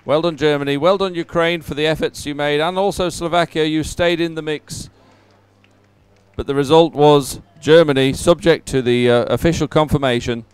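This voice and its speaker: Clear-voiced man commentating live sport. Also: on the high side, fairly even intonation, moderate pace, no room sound.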